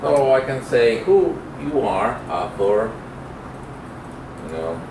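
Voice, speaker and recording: Slow-talking male instructor in a roomy, noisy recording. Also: medium pitch, very animated. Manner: halting, thinking aloud while typing. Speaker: programming instructor. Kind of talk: informal classroom lecture with live coding demo. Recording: roomy, distant mic, clear background noise.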